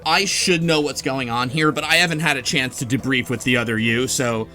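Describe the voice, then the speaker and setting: A man hosting a casual podcast discussion of a game story, talking in an animated, argumentative way. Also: medium pitch, brisk. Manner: animated, argumentative, quotes characters while explaining. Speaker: podcast host. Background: music behind the voice.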